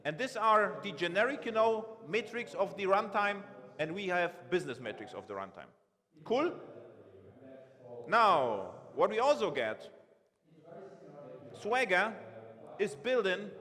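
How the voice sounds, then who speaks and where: A man giving a live-coding conference talk from a large stage, halting and correcting himself as he types. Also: sits high, sing-song, pushed and bright. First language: not Romanian. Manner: halting, self-correcting, thinks aloud while typing. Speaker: conference speaker. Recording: long reverb of a big hall.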